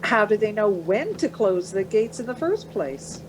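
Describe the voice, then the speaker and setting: A woman's voice: medium pitch, very animated, normal speed, slight background noise, close to an everyday voice, low-quality recording. A woman acting in a radio play as an anxious, questioning traveller, fretful and hesitant. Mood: worried.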